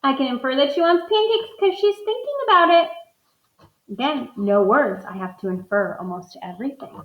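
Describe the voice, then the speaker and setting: Woman teacher, read-aloud lesson, talks through her inferences; higher voice, roomy echo, muffled sound. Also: animated, moderate pace. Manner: thinks aloud, modeling inferences for students.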